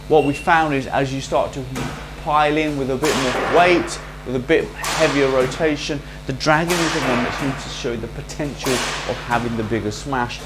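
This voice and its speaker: Male host for a casual gear review, somewhat high voice, chatty and salesy, slightly worn, faint mains hum under the track. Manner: chatty and salesy, with quick asides. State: losing his voice. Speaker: racket reviewer and shop host.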